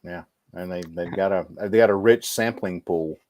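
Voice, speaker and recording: Low-voiced man, dry close sound. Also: moderate pace, a little mellow, no echo, poor audio. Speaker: podcast co-host. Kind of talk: casual panel discussion.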